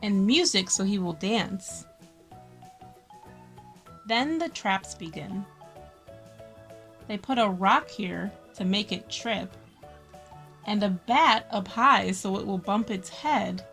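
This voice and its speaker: A woman's voice: medium pitch, animated, slow pace, long pauses, a bit bright, faint music behind. A woman hosting a children's story time, reading a picture book expressively with friendly asides and stressed key words.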